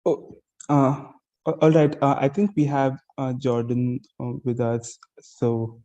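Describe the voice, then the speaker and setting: A man's voice: mid-range voice, level tone, slow pace, mellow, highs cut off. A man moderating an online event, hesitating with many uhs while waiting for a speaker.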